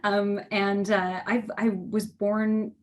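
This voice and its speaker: A woman's voice: medium pitch, level tone, slow, a little hiss or hum. A woman from Canada who works as an executive coach, talking as a podcast guest in a friendly way, laughing often and using fillers. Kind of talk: casual interview chat.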